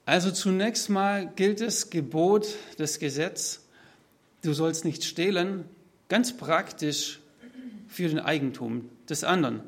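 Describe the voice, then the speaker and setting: Higher-voiced man preaching. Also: takes it slowly, breathy edge. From Swabia, southern Germany. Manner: explanatory, structured, addresses listeners directly. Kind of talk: sermon, spoken freely from notes to a congregation.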